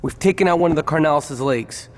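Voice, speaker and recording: A man's voice: fairly high pitch, fast, in a large hall, slight background noise, natural voice. An actor playing a blunt male gang member in a video game cutscene.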